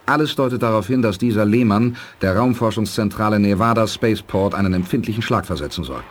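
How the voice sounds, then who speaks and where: An actor playing a calm, resolute space commander in a measured, authoritative radio drama delivery. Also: male voice, fairly low pitch, lightly acted. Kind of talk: 1980s German radio drama acting.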